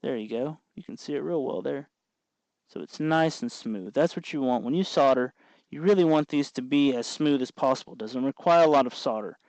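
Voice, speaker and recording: A man's voice: medium pitch, moderate pace, a little mellow, no room sound. A man hosting a do-it-yourself tutorial, explaining each step patiently in a casual, folksy way as he works. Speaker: host of a DIY electronics tutorial. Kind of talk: casual hands-on tutorial, explaining while working.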